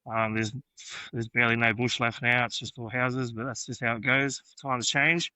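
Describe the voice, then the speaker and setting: A man's voice: medium pitch, flat delivery, moderate pace, sharp tone, no echo, poor audio. A young man from New South Wales with an Australian accent, a guest chatting easygoingly about where he grew up, with many ums and uhs. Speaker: podcast guest, graffiti artist. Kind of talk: casual interview chat.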